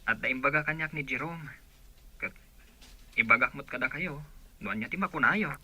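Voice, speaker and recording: A man's voice: mid-range voice, moderate pace, bright, forceful tone, slightly rough. A man playing an earnest, pleading young village son in a radio drama. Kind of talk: Ilocano radio drama acting.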